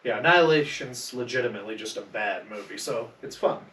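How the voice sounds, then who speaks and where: Mid-pitched man in an echoey room. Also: fairly quick, a bit bright. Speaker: podcast co-host. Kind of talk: casual group chat. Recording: echoey room, distant from mic.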